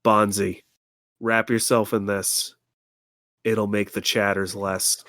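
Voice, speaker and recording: A woman's voice: rumbling low, level tone, takes it slowly, fairly bright tone, no room sound, clean studio sound. A woman playing a tabletop game, chatting casually with a blunt, teasing manner.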